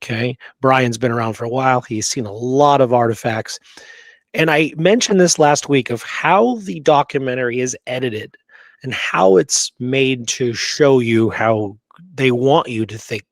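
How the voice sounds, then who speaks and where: A man's voice: medium pitch, no room sound. A man co-hosting a discussion show, reading out quotes and often checking in with "okay?" and "right?". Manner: frequent "you know" and checking "okay?", "right?". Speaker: show co-host.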